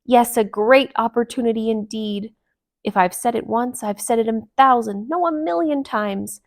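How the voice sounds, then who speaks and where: A woman's voice: on the high side, moderate pace, lightly acted. A woman voicing a pompous, long-winded male vulture in a children's storybook, speechifying grandly and repetitively. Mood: self-important.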